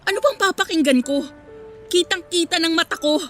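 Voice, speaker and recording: Radio drama role: betrayed young woman, high-pitched, biting sarcasm, soft music behind. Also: fairly quick. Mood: indignant, sarcastic. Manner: sarcastic, cutting. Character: hurt, sarcastic young woman confronting cheating boyfriend. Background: faint background music.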